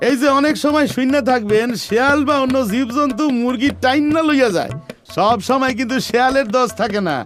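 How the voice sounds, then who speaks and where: A very high-voiced, grumbling cartoon husband acted by a man with an eastern Bengali accent. He delivers sarcastic asides in exaggerated comic style, with music playing behind him. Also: fairly quick, a bit bright. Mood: wry. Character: grumbling, wisecracking stingy young husband and son-in-law.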